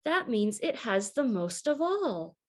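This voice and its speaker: A woman's voice: on the high side, sing-song, unhurried, darkish tone, voice pushed up. A woman voicing a small, thoughtful schoolboy in a children's storybook, earnest and careful. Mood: earnest realization.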